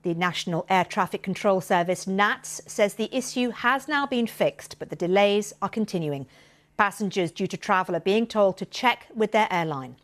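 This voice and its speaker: Female news presenter, scripted studio-style delivery, medium pitch. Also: moderate pace. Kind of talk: scripted broadcast news reading.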